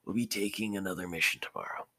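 A man's voice: sits low, steady tone, fast talker, pushed and bright, no echo, full character voice. A man voicing an easygoing adult ninja teacher in a casual story reading. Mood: defeated.

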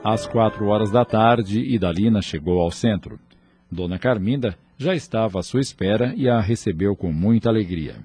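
A man narrating a scripted radio drama in a measured, formal manner. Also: lowish voice, a little mellow, slightly rough, no echo.

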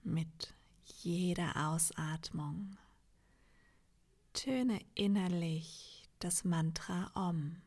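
A woman leading a guided meditation in a soft, half-whispered, soothing voice, leaving long pauses between phrases. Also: lowish voice. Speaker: yoga nidra meditation guide.

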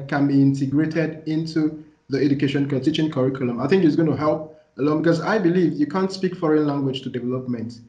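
A Nigerian man, a native Igbo speaker, giving a thoughtful, deliberate interview answer as a development practitioner. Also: fairly high pitch, a little mellow. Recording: echoey room, muffled lo-fi sound.

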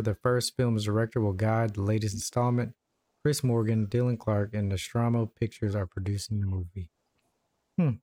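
A man's voice: lowish voice, darkish tone. Casual male podcast host. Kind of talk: casual chat, reacting to and reading out entertainment news.

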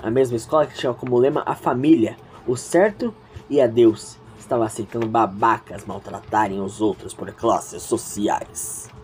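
A woman's voice: very deep voice, normal speed, a little mellow, soft background music. A woman narrating an audio story informally, with chatty, reflective asides addressed to the listeners. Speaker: narrator and host.

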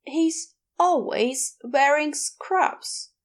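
Wry, needling nonbinary neighbour for story audio, female performer: dry delivery, measured pace, raised pitch. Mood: dry, knowing. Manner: dry, teasing, pointed.

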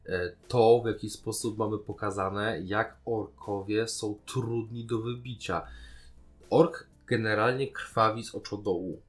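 A man with a Polish accent speaks in a fairly low voice. He is a podcast co-host, discussing and critiquing in a casual, conversational style. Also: fairly even intonation, a little slow, faint music behind.

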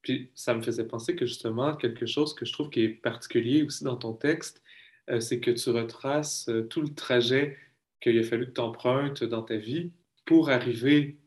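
A Québécois-accented speaker interviewing a guest, asking curious, reflective questions in a relaxed way. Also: medium pitch, normal speed. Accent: Québécois French. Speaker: podcast interviewer. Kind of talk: casual conversational interview.

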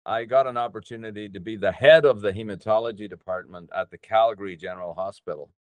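An older man from with a low voice. He tells his own story as an interview guest, pausing often and taking his time. The sound is dry, with hardly any room echo. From Northern Ireland. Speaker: podcast guest, business coach.

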